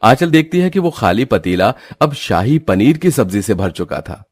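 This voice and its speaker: A man's voice: on the low side, very animated, moderate pace, husky. A man narrating a scripted cartoon story.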